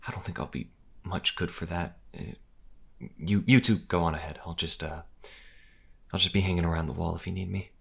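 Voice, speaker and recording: Guy doing nervous young priest for audio drama, deep raspy voice w/ fry, stammers, earnest n polite. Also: fairly even intonation, fairly quick, exaggerated voice. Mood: awkward, casual. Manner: hesitant, stammering. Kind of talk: fantasy audio drama acting.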